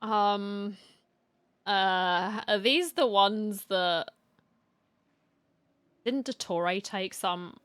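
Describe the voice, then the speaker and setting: A woman's voice: medium pitch, extremely slow, pauses often, a bit bright, clear, resonant tone, no echo. A woman streamer giving casual live commentary on a game, musing aloud in a hesitant way. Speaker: VTuber streamer.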